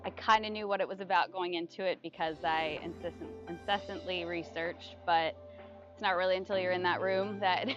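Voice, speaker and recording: A young woman with a lowish voice speaks in a relaxed testimonial, chatty and full of fillers and soft laughs. The sound is a bit dull and lo-fi. Music plays behind her throughout. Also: fairly quick. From United States. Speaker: retreat guest giving a testimonial. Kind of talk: casual testimonial interview. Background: background music.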